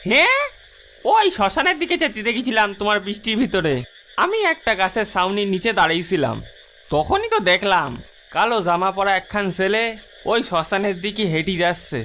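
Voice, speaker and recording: A man's voice: high-pitched, very animated, a little fast, pushed and bright, slight background noise, exaggerated voice. A man voicing a village stranger in a horror cartoon, speaking in rustic dialect. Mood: eerie, informative. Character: villager stranger reporting a sighting near cremation ground. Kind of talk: Bengali cartoon horror story voice acting.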